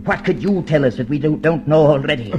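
An actor playing a cold, commanding party inquisitor and torturer in a radio drama, with theatrical, deliberate, menacing delivery. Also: male voice, fairly high pitch, animated, dull audio, over music, close to an everyday voice. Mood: calm menace. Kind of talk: mid-century radio drama acting.

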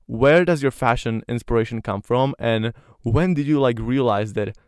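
Man, young adult, from Slovakia, native Slovak speaker, smooth clear voice, dry close sound, earnest podcast host. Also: mid-range voice, normal speed, no echo. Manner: earnest, many "like" fillers, admiring. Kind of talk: casual interview.

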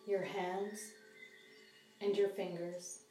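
A woman guides a body scan meditation in a soft, mellow voice. She gives gentle instructions with long pauses. The recording is roomy and a little distant from the mic, with music behind her. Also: medium pitch, level tone.

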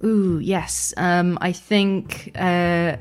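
A woman's voice: on the low side, steady tone, drawn-out words, smooth, soft background music. A woman chatting casually as an interview guest, thinking aloud in a thoughtful, hesitant way. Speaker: interview guest, audio drama producer and editor.

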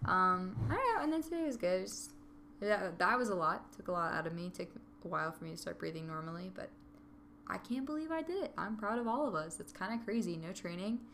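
A woman's voice: mid-range voice, fast, slight background noise. A woman chatting casually and animatedly about a hike, acting out her own reactions. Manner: animated storytelling, acts out her own quotes. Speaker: hiker recounting a trip. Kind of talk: casual chat.

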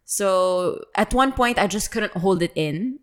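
A woman's voice: mid-range voice, expressive, a little slow. A woman telling stories in a casual, candid and playful way, acting out bits of quoted dialogue. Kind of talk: casual conversational storytelling.

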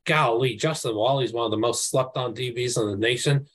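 A man giving his college football picks in a loose, podcast-style chat. His delivery is emphatic and excitable, with a middle-range voice and plenty of casual slang. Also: mid-range voice, a little fast.

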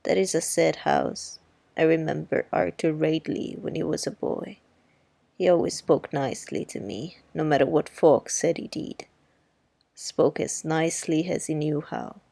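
A woman reading as Miss Maudie, gravelly and grim. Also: deep voice, mellow, dry recording, pitched below the performer's own voice, exaggerated voice. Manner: slow, dry and grim. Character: wry, sharp-tongued middle-aged Southern woman neighbor. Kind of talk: audiobook character reading.

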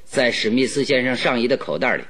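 An actor playing a shrewd, playful master detective in a Mandarin radio drama, with a leisurely, theatrical, self-assured manner. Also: male voice, mid-range voice, expressive, quick pace, fairly bright tone, natural voice. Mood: relaxed, amused. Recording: faint music behind the voice.

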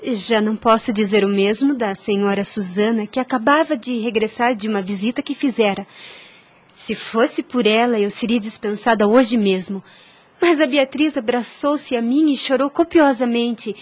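A woman's voice: a little high, dry recording, lightly acted. A woman playing a devout, self-sacrificing young woman in a radio soap opera, with earnest, formal, literary delivery. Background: music under the voice.

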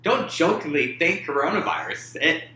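Man, co-host, halting sports chat, echoey room. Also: on the high side, very animated, pushed and bright. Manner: halting, many fillers and restarts. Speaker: podcast co-host.

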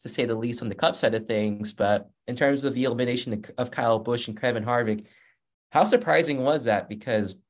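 An upbeat man hosts a racing podcast with a loud, carrying voice. He talks in a chatty, casual way at a middling pitch. He sounds like a young adult. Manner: upbeat, chatty, reads sponsor plugs. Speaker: podcast host and motorsports journalist.

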